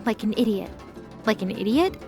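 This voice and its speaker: A woman giving steady, scripted storytelling narration for an audio drama. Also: medium pitch, moderate pace. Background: background music.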